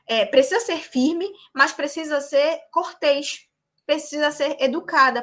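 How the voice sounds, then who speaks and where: A young woman teaching law in a high, bright voice. She speaks emphatically, pausing often to check that the class is following, and her tone is lively and clear. It is the voice of an instructor who also practices in court. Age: young adult. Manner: emphatic, tags points with check-in questions. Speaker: law lecturer and practicing lawyer. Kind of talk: lecture to a class.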